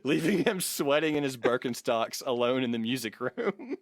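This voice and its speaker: A man's voice: mid-range voice, expressive, normal speed, no echo. American man, mock-grandiose podcast reader, dry sound. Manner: mock-grandiose, breaks into chuckles, sweary. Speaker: podcast co-host. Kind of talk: comic dramatic reading of a biography with casual banter.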